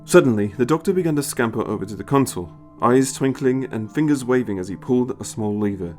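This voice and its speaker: Male narrator for scripted fiction: mid-pitched, lively intonation, music bed. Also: fast talker. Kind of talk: expressive scripted story narration. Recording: music behind the voice.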